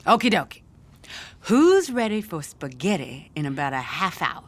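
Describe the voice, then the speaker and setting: A woman's voice: on the low side, big pitch swings, normal speed. An actress playing a brash, proud, working-class middle-aged mother in a naturalistic audio drama, folksy and emphatic. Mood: proud, cheery.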